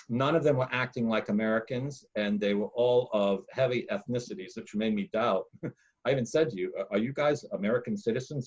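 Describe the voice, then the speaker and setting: A man, a monk hosting a casual talk, telling a long personal story in a loud, emphatic, rambling way. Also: fairly low pitch, dull audio. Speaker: podcast host, monk.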